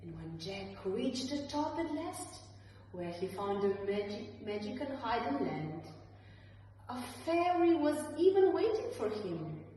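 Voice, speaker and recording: A woman reads a children's tale with a very lively, fairly high voice and an unhurried pace. She leans on key words dramatically, as a teacher does for small children. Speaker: early years teacher reading a story. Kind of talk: storytelling for young children, expressive narration. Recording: roomy, echoey, distant mic, faint noise.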